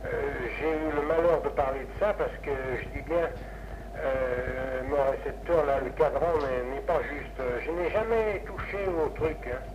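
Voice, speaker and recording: A man's voice: a little high, measured pace. A man chatting as an amateur radio operator in a casual, rambling way, hesitating and repeating himself. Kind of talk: casual ham radio contact. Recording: noisy lo-fi 1960s amateur radio recording.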